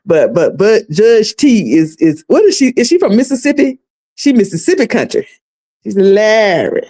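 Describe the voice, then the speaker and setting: Chatty Southern woman with a dark tone. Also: mid-range voice, big pitch swings, normal speed. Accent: Southern US, North Carolina. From North Carolina, US. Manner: chatty, folksy, addresses viewers as y'all and sugar. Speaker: commentary livestream host. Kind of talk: casual recap chat.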